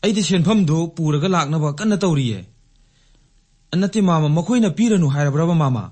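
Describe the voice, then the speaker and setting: A man's voice: on the high side, animated, racing, darkish tone. A man acting in a radio drama as a concerned, insistent companion, with an urgent manner. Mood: anxious, questioning. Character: concerned, insistent man looking after a distressed woman.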